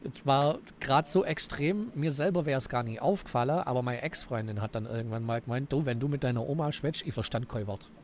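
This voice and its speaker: A man from Swabia with a Swabian accent, a podcast guest telling stories in relaxed casual chat. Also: mid-range voice, a little fast, few pauses. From Swabia, Germany.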